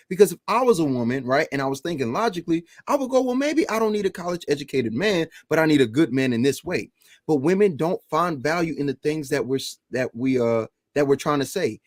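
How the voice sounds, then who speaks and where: Animated man arguing on a podcast panel. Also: on the high side, no echo, clean studio sound. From Atlanta area. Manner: animated, argumentative, laughs mid-point. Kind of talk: heated panel debate.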